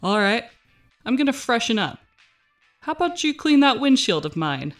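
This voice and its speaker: A woman voicing an eerie, polite male stranger with a slow drawl and faint menace, in an audio drama. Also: fairly high pitch, very animated, soft background music, pitched above the performer's own voice. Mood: casual menace.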